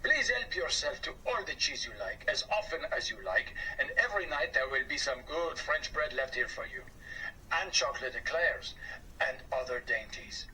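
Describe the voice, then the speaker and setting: A grand, pompous storybook cheese-factory owner, played by a man, speaking formally and sprinkling in French words. The voice is raised a little, lively and bright. It is heard from a distance over a faint hiss. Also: fairly high pitch, normal speed. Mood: grateful. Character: pompous middle-aged male cheese factory boss.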